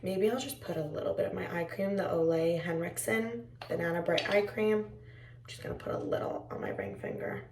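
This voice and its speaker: A young woman with a raspy, tired voice, chatting casually to her viewers in a home vlog. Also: on the low side, brisk, reverberant, far from the mic, background noise. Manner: chatty, rambling, talks to viewers as friends. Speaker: vlogger. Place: indoors at home.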